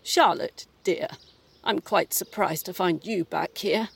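A woman in an audio play acting a domineering, snobbish ghostly mother, prim and possessive, with a husky creak in her voice. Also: fairly low pitch, takes it slowly, heavily acted. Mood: imperious, smug. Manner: haughty, prim, cloyingly possessive.

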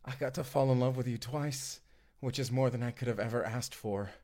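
A man's voice: mid-range voice, a little fast, noisy background, lightly acted. A man acting as a devoted, sweetly awkward young romantic in an immersive audio roleplay, speaking in an earnest, hesitant, conversational way. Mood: dying, tender.

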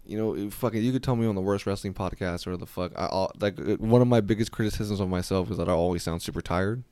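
A young man hosting a podcast, with a deep voice, chatting casually in a profane, rambling way. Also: warm tone, smooth, no echo. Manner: profane, rambling, quick storytelling. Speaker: podcast host.

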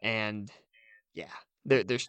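A man's voice: on the low side, slow pace, a bit bright, clear, resonant tone, dry recording, dull audio. A man co-hosting a podcast, chatting in a casual, laid-back and informal way. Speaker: podcast co-host.

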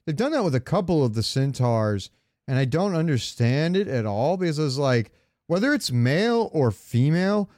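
A man's voice: on the high side, very animated, normal speed, darkish tone, dry recording. A man co-hosting a podcast, chatting casually about games, joking and thinking aloud on a close microphone. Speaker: podcast co-host. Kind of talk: casual gaming chat.

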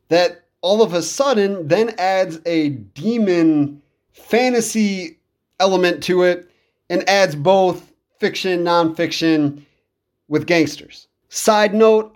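A man in his thirties giving casual, enthusiastic solo commentary as a podcast host, in a conversational way. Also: high-pitched. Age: 30s.

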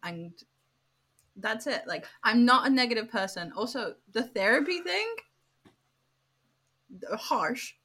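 A woman's voice: a little high, animated, slow, long pauses, bright, forceful tone, breathy edge. A young British woman with a British accent, co-hosting a podcast with dry, sarcastic humor. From Britain. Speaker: podcast co-host. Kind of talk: casual chat.

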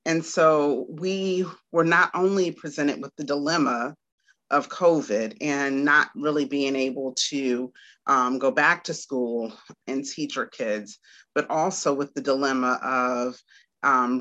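A woman from Washington, D.C. answers questions as an interview guest. She talks slowly, with a bright tone and many pauses. The audio sounds dull and lo-fi. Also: rumbling low. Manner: unhurried, with many "um" pauses and small laughs. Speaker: guest, Montessori teacher.

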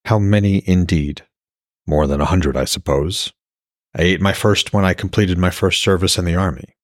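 A man voicing a brooding, long-lived warrior god in a fantasy audiobook, halting, unsure and low-key. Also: fairly even intonation, dry recording, studio quality, natural voice. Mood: subdued.

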